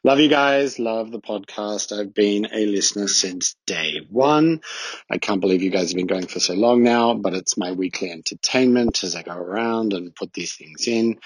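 An Australian man leaving a rambling, excited voice message for a podcast. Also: on the low side, breathy edge, dry recording, low-quality recording. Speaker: listener sending an audio clip. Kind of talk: recorded voice message.

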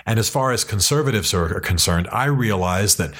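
A man hosting a podcast from his office, talking earnestly and with passion, slowing down to stress his key points. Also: fairly low pitch, expressive, fairly bright tone. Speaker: podcast host.

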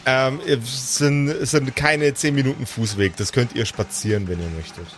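A man's voice: medium pitch, moderate pace. A man running an improvised tabletop role-play as game master, talking casually and teasing the players.

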